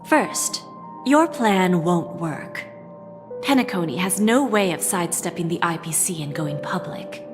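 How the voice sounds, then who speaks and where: An actress playing a confident, shrewd female corporate negotiator in a video game, articulate, measured and pointed, with a coolly threatening edge. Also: lowish voice, expressive, over music. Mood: cool, blunt. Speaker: game voice actor. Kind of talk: dramatic video game dialogue.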